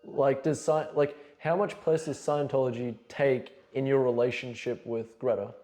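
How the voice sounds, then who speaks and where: Mellow-voiced curious male podcast host. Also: mid-range voice, steady tone, moderate pace. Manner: curious, probing questioner. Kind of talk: casual interview.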